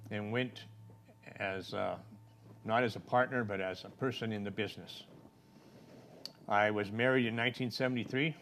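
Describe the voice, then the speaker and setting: An older man from a small Colorado town speaks in a plain-spoken, rambling way, with folksy grammar and frequent restarts. His voice sits at an ordinary pitch. A faint noise hums behind him. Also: fairly bright tone. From Trinidad, Colorado. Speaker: city council candidate. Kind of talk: casual interview answers.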